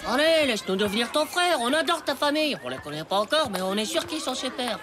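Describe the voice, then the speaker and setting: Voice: sits high, very animated, quick pace. Cheeky cartoon schoolboy, scheming, naive, insistent, music behind. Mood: pleading. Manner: brash, slangy, insistent. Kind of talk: French animated cartoon acting.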